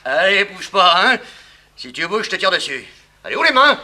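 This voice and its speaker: An actor playing a sly, vengeful gangster in a 1960s French radio crime serial, with an excitable, sneering, slangy delivery. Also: male voice, high voice, normal speed, background noise. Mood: vengeful, menacing. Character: gloating, vengeful male gangster, sly villain back from the gallows.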